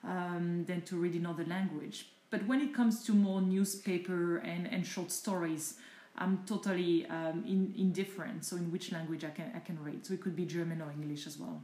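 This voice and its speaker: French-accented woman with a mellow voice. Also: fairly low pitch, steady tone, roomy sound. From France. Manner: thoughtful, chatty answers with searching pauses. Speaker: interviewee, mother of the host. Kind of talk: casual home interview.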